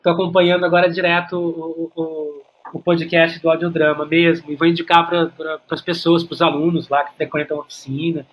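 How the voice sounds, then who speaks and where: High-voiced Brazilian man, halting and conversational. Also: flat delivery, a bit bright, rough recording. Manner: halting, repeats words as he thinks. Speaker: podcast guest, playwright and workshop teacher. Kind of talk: casual conversational interview.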